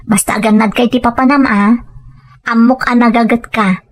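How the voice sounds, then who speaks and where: A woman with an Ilocano accent playing a caring, concerned wife in an audio drama, with a husky voice. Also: on the high side, fairly even intonation, normal speed, lightly acted. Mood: supportive. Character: caring Filipino wife, gently questioning, concerned.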